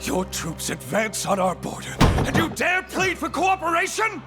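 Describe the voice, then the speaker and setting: An actor playing a hot-tempered, suspicious royal adviser in a fantasy game, theatrical and accusing. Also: male voice, very high-pitched, big pitch swings, measured pace, heavily acted. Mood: outraged. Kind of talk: cinematic fantasy game acting. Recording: music behind.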